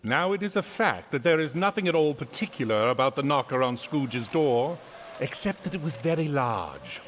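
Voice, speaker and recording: A man's voice: medium pitch, big pitch swings, normal speed, slight background noise. A man narrating a classic story in a dramatic, scripted storybook style for radio drama.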